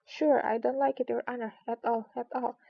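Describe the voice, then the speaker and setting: A woman's voice: a little high, flat delivery, fairly quick, vocal fry. A woman voicing a meek, reluctant male servant animal in a storybook, deferential and hesitant. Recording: dull lo-fi recording.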